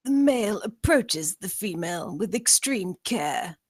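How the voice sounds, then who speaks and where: A woman playing a nature documentary narrator, measured and factual, in a script reading. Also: medium pitch, expressive, no echo, clean studio sound. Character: nature documentary narrator describing spider mating.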